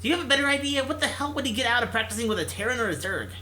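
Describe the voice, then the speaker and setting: Man doing whiny, high-pitched young gamer guy. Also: expressive, very fast, sharp tone, heavily acted. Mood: indignant. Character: wild-haired, stubborn, excuse-making young male gamer. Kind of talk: visual novel character reading.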